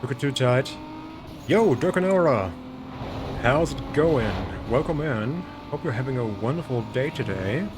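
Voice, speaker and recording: Male streamer, light German accent, mid-pitched, relaxed and chatty, loud racing game noise underneath. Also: a little slow. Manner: relaxed, chatty, greets and thanks viewers. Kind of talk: casual gaming commentary to chat. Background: racing game engine and traffic sounds.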